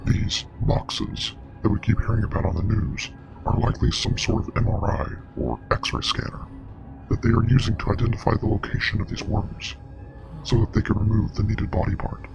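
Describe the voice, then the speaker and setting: A man playing a grave, knowing investigator and parasite expert in a scripted web horror drama, explaining in a measured, clinical way with a breathy, gravelly voice. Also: fairly low pitch, dull audio. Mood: clinical, ominous. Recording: music playing behind.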